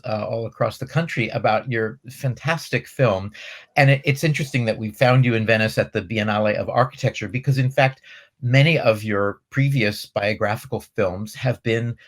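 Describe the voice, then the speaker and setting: A man hosting a film festival Q&A, speaking in an articulate, effusive way with measured phrasing. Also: medium pitch. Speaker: festival host interviewing a film director. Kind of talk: moderated Q&A interview.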